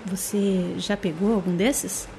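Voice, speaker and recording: A woman's voice: medium pitch, steady tone, takes it slowly, dark tone, a little hiss or hum. An actress playing a chatty, teasing, curious woman in an audio drama.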